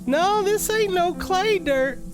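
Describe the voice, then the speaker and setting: Woman playing a high-pitched, folksy junior detective. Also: expressive, takes it slowly, music in the background, full character voice. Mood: urgent, wary. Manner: folksy, deferential, wide-eyed. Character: bumbling, eager, folksy junior police detective named Barney. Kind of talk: audio drama acting.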